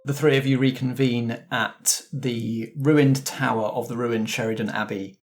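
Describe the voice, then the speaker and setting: A man's voice: mid-range voice, fairly even intonation, a little slow, faint music behind. A man narrating a tabletop role-playing story as game master and putting questions to the players.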